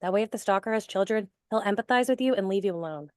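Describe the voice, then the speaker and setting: A woman plays a young best friend, breezy and blunt. She hands out matter-of-fact advice in a mid-pitched voice, in a horror comedy style script read. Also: quick pace, lightly acted. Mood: concerned, advising. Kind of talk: actor's table read of horror film script.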